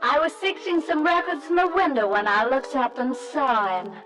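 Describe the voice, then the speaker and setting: An actress playing a gentle, demure young wife wistfully recalling her courtship in an old-time radio drama. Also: high-pitched, normal speed, soft background music. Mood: wistful, reminiscing.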